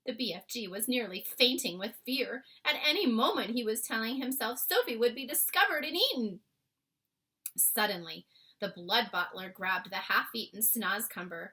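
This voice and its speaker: A woman's voice: on the high side, lively intonation, normal speed, pushed and bright. A woman reading a children's book aloud as a storyteller, with short asides to listeners. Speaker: storybook reader.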